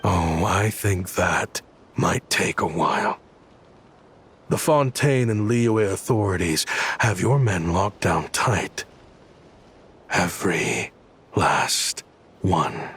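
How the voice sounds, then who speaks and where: An actor playing a grim, weary, remorseful guardian in a video game, speaking in a halting, brooding way. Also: male voice, deep voice, expressive, fairly bright tone, husky, exaggerated voice. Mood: cold, menacing. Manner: slow, halting, brooding delivery. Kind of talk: dramatic video game voice acting.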